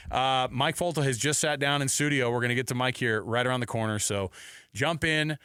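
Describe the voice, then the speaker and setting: An American man hosting live sports talk radio, casual, animated and slangy, on a close studio microphone. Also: mid-range voice, fairly quick, clear, resonant tone, no echo. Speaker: sports radio show host.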